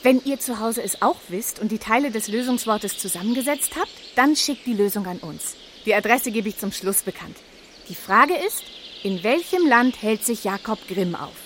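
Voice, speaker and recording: A woman playing a brisk, resolute radio reporter who addresses young listeners in a children's radio play. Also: fairly high pitch, expressive, fairly bright tone, slight background noise. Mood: explaining.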